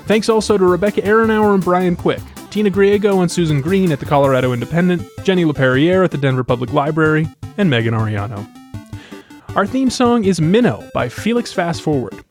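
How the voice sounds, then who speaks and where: Higher-pitched American male podcast host. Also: lively intonation, a little fast, soft background music. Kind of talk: interviewing and scripted podcast credits.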